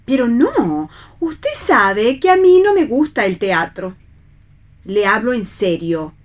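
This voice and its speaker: Sceptical troupe member, Argentine accent, slow delivery, highish voice, matter-of-fact, radio drama. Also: female voice, very animated. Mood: insistent. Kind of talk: radio theatre reading.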